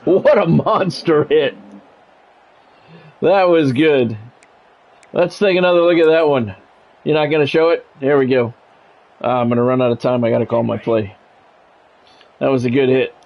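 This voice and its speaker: A man's voice: medium pitch, normal speed, vocal fry, long pauses, highs cut off. A middle-aged man commentating a sports video game in radio play-by-play style, with short clipped calls and casual, salty asides. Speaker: gaming streamer and play-by-play commentator.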